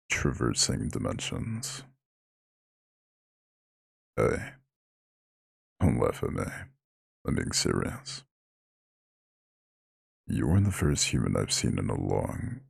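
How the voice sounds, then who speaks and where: A man voicing an ancient, imposing eldritch god who is gently caring and proud, speaking in a slow, soothing, formal growl in an ASMR roleplay. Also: no room sound, studio quality, exaggerated voice. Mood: concerned. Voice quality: very deep gravelly husky growl.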